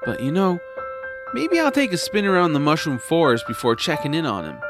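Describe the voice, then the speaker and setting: A man voicing an eager, earnest young boy hero with an excitable manner in a family fantasy audio drama. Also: on the high side, a little fast, music in the background. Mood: cheerful, musing.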